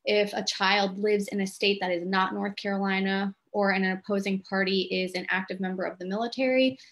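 A woman presenting a legal self-help clinic, explaining terms in a measured, plain-spoken way to a lay audience. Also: mid-range voice, fairly even intonation, fairly bright tone.

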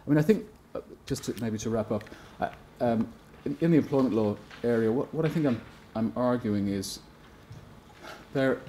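Mellow, hesitant academic man. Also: medium pitch, slight background noise. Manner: hesitant, many restarts, measured. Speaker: panelist. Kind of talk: academic panel talk. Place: seminar room at a conference table.

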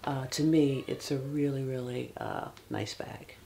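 A soft-spoken woman in her fifties, casually showing a handbag in her bedroom with halting speech and many fillers. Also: a bass voice, vocal fry, a little hiss or hum. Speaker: handbag vlogger. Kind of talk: casual product demo. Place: bedroom, seated on a bed. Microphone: external camera mic.

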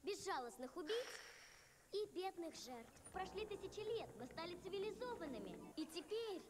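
Very high, eager little bunny girl. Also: very animated, moderate pace, noisy background. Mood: earnest, theatrical. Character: eager little girl rabbit in animated film. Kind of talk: animated film dub.

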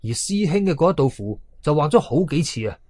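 A man's voice: on the high side, big pitch swings, fairly quick, a little mellow, dry recording. A man with a Hong Kong Cantonese accent narrating a scary story in the first person, dramatically, with colloquial asides and exclamations. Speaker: horror storyteller.

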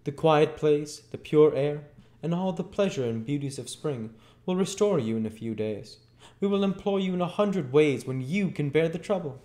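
A man voicing a kindly, genteel young lady in a storybook reading, gentle and gracious in a formal way. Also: a little high, expressive, normal speed, pitched above the performer's own voice, lightly acted. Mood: tender, encouraging.

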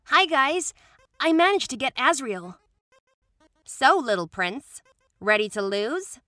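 Casting: woman as assertive cartoon girl, very high and bright, competitive, animated comic-dub read. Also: slow, dry recording, soft background music, heavily acted. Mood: confident.

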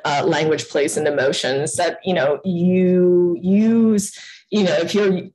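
A woman's voice: lowish voice, darkish tone, rough recording. A woman giving an online lecture over a video call, talking casually with many fillers and restarts. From United States.

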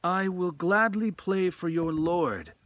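A man's voice: high voice, animated, takes it slowly, a bit bright, no room sound, pitched above the performer's own voice, heavily acted. A man voicing a timid, humble blind musician in a ghost story, easily frightened and pleading. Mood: humbly obliging. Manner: stammering, timid.

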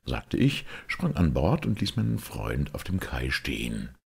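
A man narrates a literary audiobook in an extremely deep voice. It is husky and gravelly. He reads expressively. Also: huge pitch swings, measured pace.

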